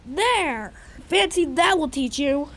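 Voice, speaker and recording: A woman's voice: sits high, animated, slow pace, fairly bright tone, heavily acted. A woman playing a spiteful, jealous, bullying young boy in an audio drama. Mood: gloating, scornful.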